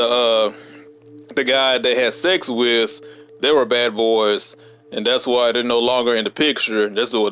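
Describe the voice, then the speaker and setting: A man records a casual vlog during downtime at work, his voice hoarse from a cold. He stops and restarts often, leaning on fillers as he thinks aloud. Underneath, the tone stays smooth and resonant at an ordinary pitch. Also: fairly bright tone. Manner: halting, many fillers and restarts. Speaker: vlogger. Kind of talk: casual rambling monologue.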